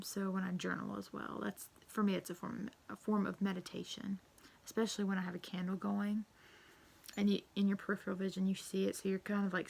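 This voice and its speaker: Croaky woman losing her voice. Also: medium pitch. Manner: profane, rambling, frequent fillers. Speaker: witchcraft vlogger. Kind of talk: casual tag-video chat.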